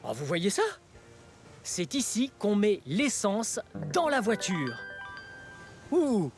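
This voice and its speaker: Excitable children's show host, hugely animated intonation, very unhurried pace, playful, exclamatory, simple teaching. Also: mid-range voice. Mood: amazed, excited. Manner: exaggerated wonder, simple explanations, frequent giggles and gasps. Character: excitable, wide-eyed, cheerful children's show presenter, playful adult. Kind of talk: exclamatory educational presenting for young children. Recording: music behind the voice.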